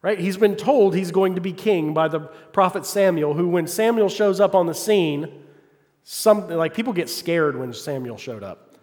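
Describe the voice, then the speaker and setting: Brief: male preacher, slightly high voice, fervent call-and-response delivery, long reverb of a hall. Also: moderate pace. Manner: call-and-response, asks congregation "Amen?"; rises to loud, fervent passages, drops to quiet asides. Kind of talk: sermon.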